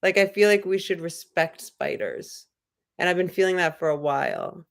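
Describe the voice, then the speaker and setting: A woman's voice: lowish voice, big pitch swings, moderate pace, creaky. A young woman hosting a casual livestream, rambling and musing in a loose, spiritual way with many filler words. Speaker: livestream host. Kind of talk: casual livestream chat, reading viewer comments.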